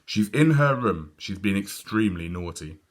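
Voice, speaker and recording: A man voicing a brisk, prim, easily exasperated mother in a children's storybook, with sing-song delivery. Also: on the low side, lively intonation.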